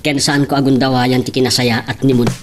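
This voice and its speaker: A man's voice: mid-range voice, level tone, a little fast, over music, natural voice. A man playing a humble, shy young working man in love in a radio drama, with an Ilocano Filipino accent. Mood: shy, confiding.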